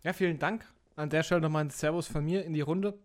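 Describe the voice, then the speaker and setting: A man's voice: a little high, fairly even intonation, quick pace. Young man asking questions with many fillers. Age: 20s. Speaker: podcast co-host and interviewer. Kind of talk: interview.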